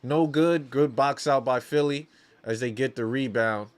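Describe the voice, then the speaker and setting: A man's voice: medium pitch, unhurried, background noise. A man hosting a watch-along, calling basketball live as a partisan fan in slangy talk, often repeating phrases for emphasis.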